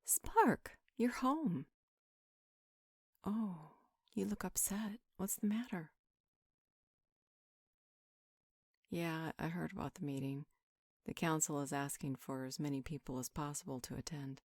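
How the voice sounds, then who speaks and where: A protective, motherly magical healer played by a woman in a roleplay audio drama. Her voice sits low for a woman and is recorded very dry. She talks in an informal, affectionate way, soothing whoever she is caring for. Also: unhurried, a little mellow, no echo. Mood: concerned, tender.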